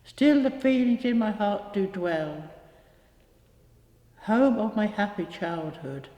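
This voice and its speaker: Woman, unhurried poetry reading, echoey hall, long reverb. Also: mid-range voice, animated, lo-fi sound. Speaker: poetry reader. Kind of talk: measured poetry recitation.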